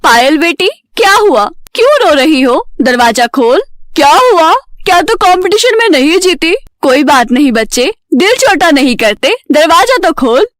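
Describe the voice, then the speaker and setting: A woman's voice: high voice, very animated, measured pace, a bit bright, no echo, clean studio sound, full character voice. A woman playing a worried, caring parent in a story, coaxing and questioning with concern. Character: worried, caring middle-aged parents of a daughter.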